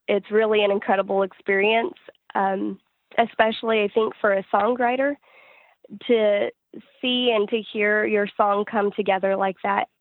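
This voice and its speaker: A woman speaks as an interviewed musician, telling things in an earnest, reflective way. Her pitch sits in the middle for a woman and moves very little, and the recording is dry and close. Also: dry recording, phone-quality sound. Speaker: interviewed singer-songwriter. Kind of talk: conversational interview answers.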